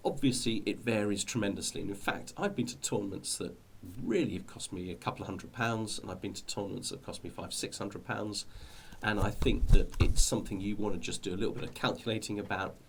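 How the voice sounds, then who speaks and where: A middle-aged British man with a fairly low voice speaks as an informal video host. He explains things in a rambling, hesitant way, often doubling back on his words. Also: fairly quick, gravelly, slight background noise. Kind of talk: informal explanatory talk to viewers.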